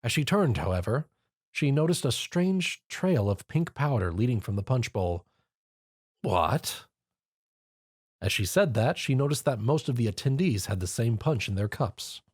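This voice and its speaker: Animated male story narrator. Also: medium pitch, moderate pace, breathy edge, no room sound, clean studio sound.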